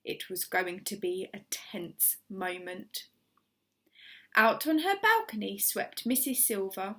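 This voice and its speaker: A woman teacher reading a children's story aloud, expressively, with measured and emphatic dramatic phrasing. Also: mid-range voice, big pitch swings, pushed and bright.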